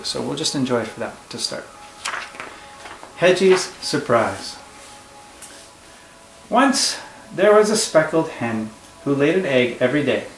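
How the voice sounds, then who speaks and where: A man, a teacher, reading a picture book aloud to children with expressive storytelling and friendly asides. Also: medium pitch, slight background noise. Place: room at home. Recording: echoey room with faint ambience.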